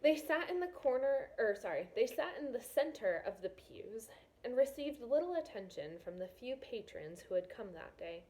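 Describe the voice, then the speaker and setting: A woman's voice: on the high side, big pitch swings, normal speed, warm tone, poor audio. A woman teacher reading a novel aloud to students, with casual, encouraging asides. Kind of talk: read-aloud of a children's novel with explanatory asides.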